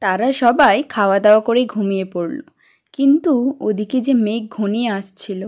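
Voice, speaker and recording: A woman's voice: medium pitch, moderate pace, darkish tone. A woman giving scripted narration for a children's story.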